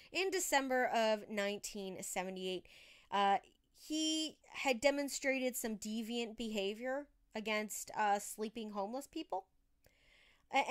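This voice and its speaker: A woman's voice: on the high side, clear, resonant tone. A woman hosting a true crime podcast, telling the story casually with informal, emphatic asides.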